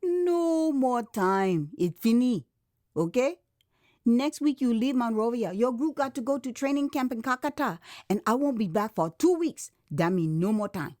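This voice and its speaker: A woman's voice: on the high side, animated, unhurried, dark tone, no echo, clean studio sound, voice pushed up. Woman acting a firm, bossy Liberian nurse. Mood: brisk, firm. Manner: firm, bossy, dialect phrasing. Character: brisk no-nonsense Liberian woman nurse, big-bosomed, unyielding. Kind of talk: audio drama acting.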